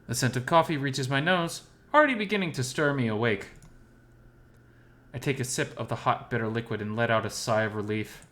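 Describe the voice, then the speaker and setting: A man's voice: mid-range voice, a little fast, fairly bright tone. A man reading scripted first-person story narration as the narrator.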